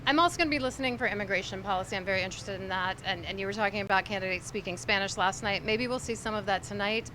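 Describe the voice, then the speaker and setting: A woman from Miami, a newspaper columnist, giving measured, opinionated takes on politics on a live stream. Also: medium pitch. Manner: measured and opinionated, weighing her words. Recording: noisy live-stream background.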